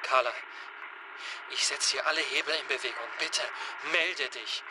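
An actor in a radio drama playing a frantic, worried husband and father caught in a disaster, pleading urgently and haltingly. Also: mid-range voice, close to an everyday voice. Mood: anxious pleading. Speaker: radio worker informing the public. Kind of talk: modern radio drama acting. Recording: noisy radio or phone line, slightly dull.

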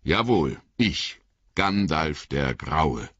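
A man reading an audiobook voices an old wizard who speaks gravely and kindly, slow and measured. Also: a bass voice, very animated, fairly bright tone, dry recording, lo-fi sound, full character voice.

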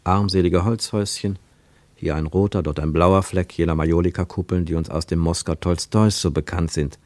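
A man narrating a radio play as a literary travel account, measured and descriptive. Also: low voice, steady tone, darkish tone, no echo, natural voice. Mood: observant.

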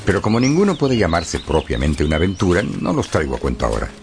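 A man narrating a radio drama as a wry, expressive storyteller. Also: sits low, fast talker, full character voice. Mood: wry storytelling. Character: wry, leisurely male storyteller. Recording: studio radio drama with background music.

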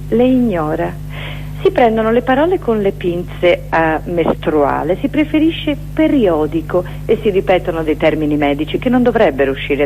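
A woman giving a dramatic recitation of a poetic manifesto, with emphatic, deliberate phrasing. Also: low voice, mellow, slight background noise, poor audio. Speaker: performer reciting poetry.

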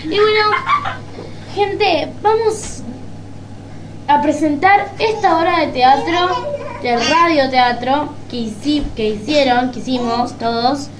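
A woman's voice: high voice, slow, noisy background. A woman hosting a radio show, introducing segments casually to the listeners.

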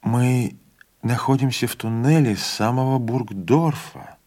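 A man's voice: mid-range voice, a little slow, darkish tone, close to an everyday voice. Man voicing an impatient young student.

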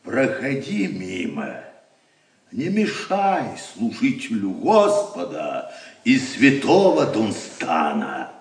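An actor playing a grumpy, sanctimonious hermit monk with a gravelly, drawn-out voice in an audio drama, meek on the surface and menacing underneath. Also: male voice, on the low side, huge pitch swings, recorded from a distance, heavily acted. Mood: grumpy piety. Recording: echoey cell, off the mic.

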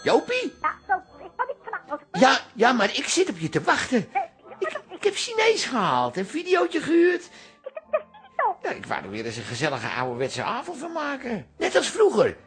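Anxious middle-aged dad, stammering and pleading, wildly animated pitch, radio comedy-drama. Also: male voice, normal speed, pauses often, exaggerated voice. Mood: hopeful, pleading. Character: lonely, fussing, flustered father of grown daughter.

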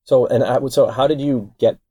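A man interviewing a guest, asking short questions in a relaxed, casual way. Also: medium pitch, moderate pace, dry recording.

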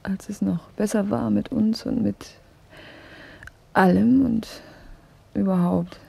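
An actress playing a wistful young wife in a radio play, speaking in a hushed, husky, intimate and musing way. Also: female voice, medium pitch, steady tone, slow pace, exaggerated voice. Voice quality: husky, breathy. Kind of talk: German radio play dialogue.

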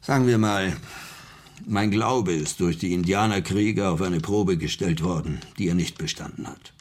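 Ein Schauspieler spielt im deutschen Hörspiel einen höflichen, nachdenklichen Farmer, der früher Militärseelsorger war und seinen Glauben verloren hat. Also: male voice, low-pitched, moderate pace. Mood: polite, resigned. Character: farmer, former army chaplain who lost his faith. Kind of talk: German radio drama acting.

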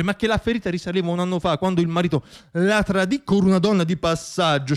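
Theatrical male storyteller, slightly high voice. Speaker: storyteller, author-performer. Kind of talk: theatrical scripted monologue, radio theatre.

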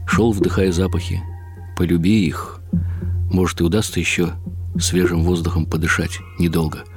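An actor playing a wry, reflective elderly husband who narrates in the first person in short clipped phrases for a radio drama. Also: male voice, deep voice, level tone, moderate pace, soft background music.

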